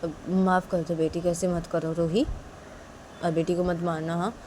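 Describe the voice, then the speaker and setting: A woman's voice: on the low side, level tone, moderate pace, mellow, slight background noise, close to an everyday voice. A woman voicing a timid young father who pleads and stammers anxiously. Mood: pleading.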